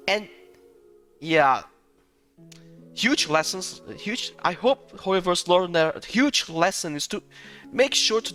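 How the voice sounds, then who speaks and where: A man giving casual live gaming commentary in a halting, repetitive way, often breaking into chuckles. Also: on the high side. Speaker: game streamer. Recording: loud music under the voice.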